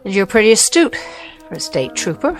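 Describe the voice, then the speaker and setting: A woman's voice: medium pitch, very animated, fairly quick, soft background music, natural voice. An actress playing a wary, guarded woman in a naturalistic radio drama, anxious and dryly sardonic, sometimes hesitant. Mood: uneasy, wry.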